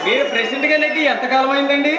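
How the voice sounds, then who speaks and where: Casting: male actor as needling village sidekick, stage play, loud and declamatory, fast, very high register, noisy crowd. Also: roomy sound. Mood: mocking, declamatory. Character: cheeky talkative village henchman, needling his bosses. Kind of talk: Telugu stage play acting. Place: stage at a village drama show.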